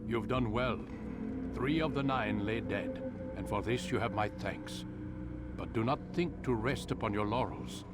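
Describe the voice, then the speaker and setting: An actor playing a commanding old master of assassins in a medieval video game, measured and formal. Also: male voice, fairly low pitch, loud music behind the voice, lightly acted. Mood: grave, commanding.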